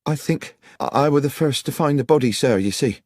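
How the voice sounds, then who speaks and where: Guy doing a nervous young farm girl for an audiobook, higher voice, halting n humble, country way of talking. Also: darkish tone, dry recording, clean studio sound, lightly acted. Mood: anxious, earnest. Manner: rustic grammar, deferential, halting. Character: anxious, earnest young country woman confessing to a detective. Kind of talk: audiobook character voice.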